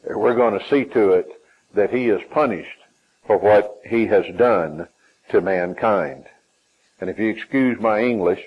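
A man with a Southern US accent preaching a sermon, emphatic, with his pitch climbing and falling and some phrases drawn out. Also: a little mellow, slightly rough, rough recording.

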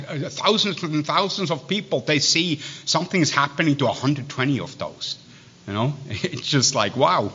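A man with a Swiss accent preaching a sermon, reading scripture and breaking off into halting, digressive asides full of restarts. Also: on the high side, sharp tone, slightly rough. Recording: dull lo-fi sound.

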